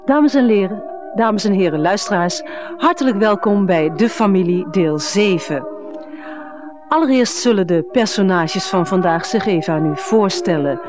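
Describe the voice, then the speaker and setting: An actress playing a formal, polite radio drama presenter with a Netherlands Dutch accent, addressing listeners in a scripted style. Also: female voice, mid-range voice, lively intonation, normal speed, music in the background, close to an everyday voice. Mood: polite, welcoming.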